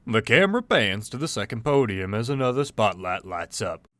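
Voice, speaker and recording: A man narrates an audio drama in a fairly low voice. He reads scripted stage and scene descriptions as the story's narrator. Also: lively intonation, normal speed, bright, forceful tone, slight background noise.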